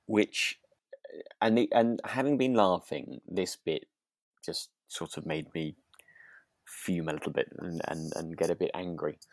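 A man's voice: sits low, a little slow, no room sound. A British man chatting as a podcast co-host, hesitant and often restarting his sentences. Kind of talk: relaxed chat discussing a radio drama.

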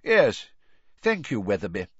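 Storybook elderly official, played by male narrator: stiff, proper, impatient, dry clipped delivery, lively inflection, close sound. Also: on the low side, a little slow, slightly rough, no echo, dull audio, heavily acted. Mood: brisk.

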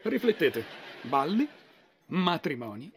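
Man acting smug sitcom ladies' man, theatrical, pompous, slightly high voice, faint noise. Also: sing-song, slow, full character voice. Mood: smug lecturing. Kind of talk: sitcom dub.

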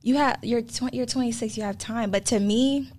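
A woman's voice: fairly high pitch, normal speed, a little mellow. Young woman hosting a chill podcast, lots of "like", laughs easy. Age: 20s. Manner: chatty, lots of "like", laughs often. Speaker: podcast host. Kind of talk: casual chat.

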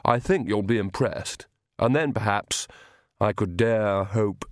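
A man's voice: lowish voice, measured pace, no echo, clean studio sound, lightly acted. A man voicing a suave, self-assured young male vampire with an urbane, patiently condescending manner for an audiobook. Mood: smooth, persuasive. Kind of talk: audiobook character voice.

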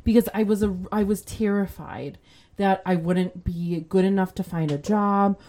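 Woman guest, low-ish voice, relaxed podcast chat, halting and modest. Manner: hesitant, self-deprecating, many restarts. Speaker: podcast guest, church musician and chemistry teacher. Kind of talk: casual chat.

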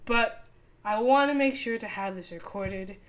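A woman's voice: medium pitch, measured pace. A young woman hosting a casual solo podcast, talking with a sore throat and rambling through many fillers and restarts. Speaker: podcast host.

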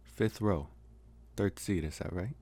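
A man playing a lonely, gentle young college vampire in an intimate ASMR roleplay, speaking softly and haltingly with pauses. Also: low voice. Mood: reflective, hesitant. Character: lonely, soft-spoken young male college vampire, politely devoted. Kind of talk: ASMR roleplay, intimate one-sided dialogue.

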